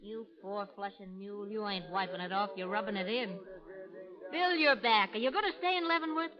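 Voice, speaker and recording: An actress playing a brash, sassy, rough-tongued frontier stagecoach driver in a vintage radio drama. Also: female voice, medium pitch, fairly quick, close to an everyday voice. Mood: teasing, eager. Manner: sassy, scrappy, folksy. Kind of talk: 1930s radio acting. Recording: muffled vintage radio recording, crowd noise.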